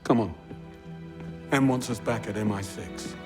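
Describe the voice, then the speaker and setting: A man's voice: fairly low pitch, unhurried, over music, lightly acted. A man playing a disapproving, exasperated senior agent in a video game, with a curt, formal and dry delivery. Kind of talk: video game cutscene acting.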